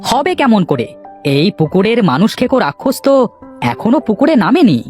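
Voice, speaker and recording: A man's voice: high-pitched, huge pitch swings, a little fast, darkish tone. A man voicing a bold, confident young village man in a cartoon, with music underneath. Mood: defiant, confident. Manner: confident, defiant. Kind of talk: cartoon character dialogue.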